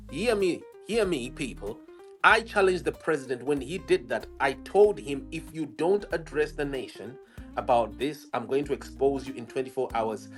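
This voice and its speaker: A Zimbabwean man with a slightly raised pitch argues his case on a livestream. He is emphatic and combative, repeating phrases to drive them home. Soft guitar music plays behind him. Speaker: investigative journalist, livestream guest. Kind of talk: argumentative livestream interview.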